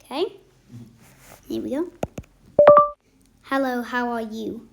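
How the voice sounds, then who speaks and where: A woman's voice: on the high side, takes it slowly, creaky, heavy background noise. A woman hosting a casual tech tutorial, chatty and playful, wandering into asides as she walks through apps.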